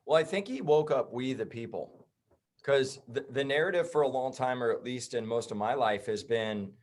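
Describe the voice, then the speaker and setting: A man's voice: medium pitch, normal speed. Blunt, heated man on a podcast. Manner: blunt, heated, swears and says bro. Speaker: podcast guest, chiropractor. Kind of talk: casual podcast conversation.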